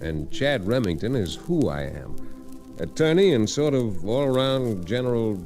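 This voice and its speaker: An actor playing a wry frontier lawyer, narrating a 1940s radio Western in a folksy, unhurried way. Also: male voice, mid-range voice, very animated, darkish tone, close to an everyday voice. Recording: vintage radio recording with music.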